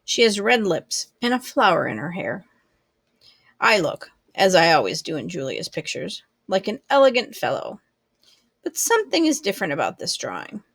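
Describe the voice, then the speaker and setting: A woman reading a children's book aloud expressively, with deliberate, measured phrasing. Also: lowish voice, sing-song, creaky, a bit bright, husky, no room sound. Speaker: storybook reader.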